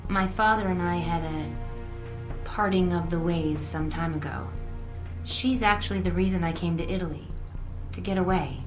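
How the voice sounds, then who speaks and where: Woman acting as soft american girlfriend in a game cutscene, slightly low voice, earnest and caring, music behind. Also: normal speed, natural voice. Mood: confiding, subdued. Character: gentle, concerned young woman confiding in her lover. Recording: roomy echo with music behind.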